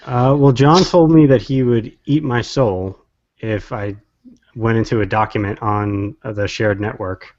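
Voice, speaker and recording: A man's voice: lowish voice, unhurried, darkish tone, muffled recording, slight background noise. A man co-hosting a casual podcast, telling a story with dry humor. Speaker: podcast co-host. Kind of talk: casual chat, telling an anecdote.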